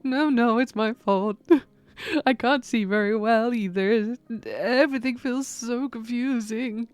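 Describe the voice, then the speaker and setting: A woman voicing a confused, gentle newly dead ghost who stammers and pleads slowly in an audio drama. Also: a little high, smooth, no room sound. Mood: bewildered. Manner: stammering, halting; slow, pleading.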